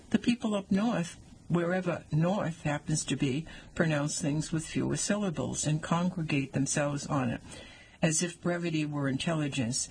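A man's voice: on the high side, slight background noise, low-quality recording. A man in his sixties reading a personal essay aloud with wry, dry humor.

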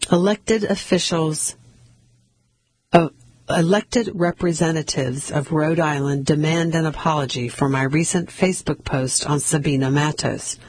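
Husky voice reading news articles aloud. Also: mid-range voice, no echo, low-quality recording. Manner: steady read-aloud delivery with occasional slips and restarts. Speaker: newspaper article reader.

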